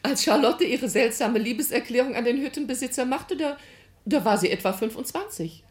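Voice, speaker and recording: A woman playing a storyteller among friends in a radio drama, narrating in an expressive, unhurried way. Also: a little high, breathy edge. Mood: chatty, knowing.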